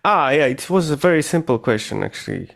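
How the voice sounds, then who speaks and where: A man's voice: on the high side, lively intonation, fairly quick, creaky, a bit bright. A man who speaks English as a second language, interviewing casually and hesitantly, searching for his words. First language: not English. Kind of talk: casual conversation.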